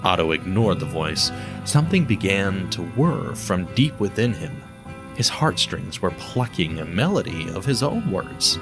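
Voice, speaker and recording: A man narrating an audio drama in a measured storytelling manner. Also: low-pitched, big pitch swings. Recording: loud music bed under voice.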